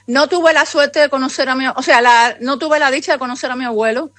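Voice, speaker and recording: A high-pitched woman in her fifties speaks with a Cuban accent, wandering through her memories with many asides. The sound is dry and close, with no room around her voice. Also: brisk, no room sound. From Cuba. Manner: rambling, warmly conversational, many asides. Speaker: guest author. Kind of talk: remote interview conversation.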